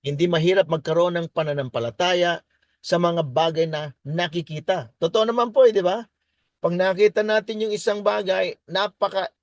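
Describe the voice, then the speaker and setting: A Filipino man, a native Tagalog speaker, presents in a deliberate preaching style, repeating key words and switching between English and Tagalog. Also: a little high, dry recording, clean studio sound. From Philippines. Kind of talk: faith-based business presentation, preaching.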